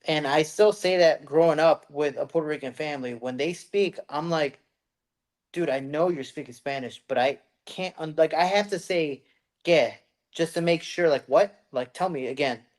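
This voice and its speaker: A man from the United States co-hosting a podcast, telling stories in a rambling, animated way and slipping into put-on voices. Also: a little high, normal speed. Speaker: podcast co-host. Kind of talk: casual chat.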